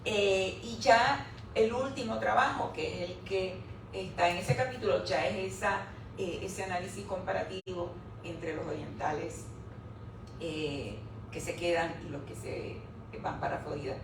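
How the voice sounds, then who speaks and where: Gravelly woman speaking in an echoey room. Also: lowish voice, measured pace. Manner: standing and addressing the audience, explanatory. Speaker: researcher presenting her book chapter. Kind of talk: academic talk at a book presentation. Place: room at a live presentation event. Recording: echoey room, distant mic, background noise.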